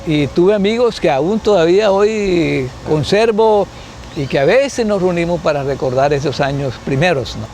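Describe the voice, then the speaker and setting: A man's voice: fairly high pitch, animated, normal speed, a little mellow, a little hiss or hum. A man in his seventies from Colombia, a guest sociologist in an interview, answering unhurriedly, thinking aloud with restarts and frequent tag questions. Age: 70s.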